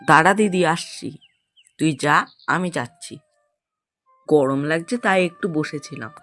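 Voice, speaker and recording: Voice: medium pitch, expressive, measured pace, fairly bright tone, soft background music. A performer voicing a cheeky, fearless young schoolboy in a cartoon, exclamatory and plaintive in manner. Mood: sulky. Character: cheeky fearless schoolboy, reluctant pupil, little brother. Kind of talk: Bengali cartoon voice acting.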